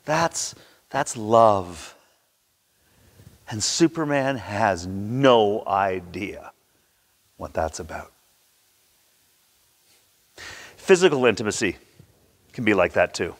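A man's voice: on the low side, lively intonation. Older male preacher in a reverberant hall. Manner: theatrical, emphatic delivery with dramatic pauses. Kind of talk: sermon. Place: large church sanctuary, indoors at a pulpit.

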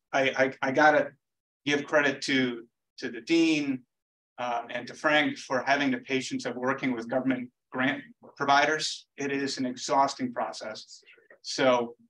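A man delivering a conference presentation from a lecture room podium. His talk is relaxed and conversational, full of little fillers. His voice sits in an ordinary middle pitch. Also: poor audio. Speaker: industry presenter.

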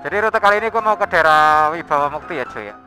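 A young man, chatting casually as he rides a motorbike through city traffic, recorded on a helmet camera mic over loud background music. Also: on the high side, expressive, fast, poor audio. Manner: chatty slangy banter, frequent laughs. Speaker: motovlog host. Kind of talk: casual riding commentary. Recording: road wind noise with loud background music.

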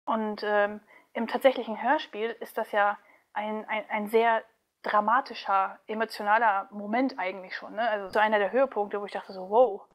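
A woman speaking casually as an interview guest, chatty and animated, like a voice actress talking about her work. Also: medium pitch, moderate pace.

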